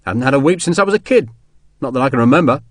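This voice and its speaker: A rough young army sergeant from a historical storybook, acted by a male narrator. He talks in blunt, colloquial working-class phrases, chatty and reassuring. The sound is dry and close. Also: medium pitch, lively intonation, rapid-fire, no echo, close to an everyday voice.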